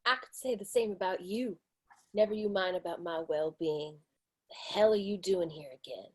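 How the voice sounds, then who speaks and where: A woman's voice: fairly low pitch, moderate pace, darkish tone, breathy edge, rough recording. A woman reading a stern, suspicious middle-aged saloon co-owner who scolds and orders people off her property. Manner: scolding, commanding. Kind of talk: screenplay table read.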